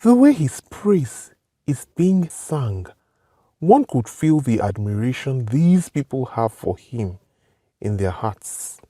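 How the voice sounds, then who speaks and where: A man voicing a resentful, scheming prince turned king in an animated folktale, with a Nigerian accent and a brooding, theatrical delivery. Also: medium pitch, no echo. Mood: brooding, bitter. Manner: brooding, theatrical soliloquy with drawn-out words. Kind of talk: animated folktale character acting.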